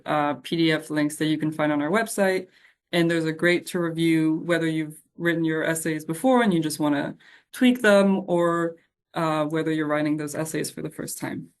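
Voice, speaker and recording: Even-toned woman answering questions haltingly. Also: deep voice. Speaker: program staff member answering webinar questions. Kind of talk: informal question-and-answer presentation.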